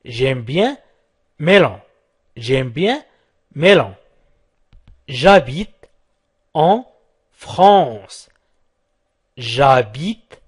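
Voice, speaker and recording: A man teaching a language, slowly repeating each short phrase several times and then translating it. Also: a little high.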